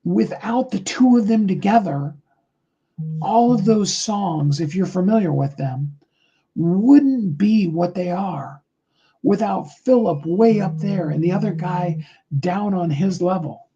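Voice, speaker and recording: A middle-aged man with a high tenor voice, giving a rambling, earnest motivational talk on a live call, full of fillers. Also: animated, slow pace, dark tone, husky, muffled recording.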